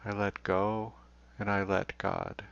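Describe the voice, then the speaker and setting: A man leading a guided meditation in a slow, deliberate way, leaving long pauses. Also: on the low side, flat delivery, creaky, fairly bright tone, clear, resonant tone. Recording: dull, roomy recording.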